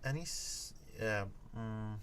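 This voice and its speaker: Casual co-host, lowish male voice, halting delivery, faint background noise. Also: fairly bright tone. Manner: hesitant, many fillers and restarts. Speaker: co-host reacting to game footage. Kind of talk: casual chat.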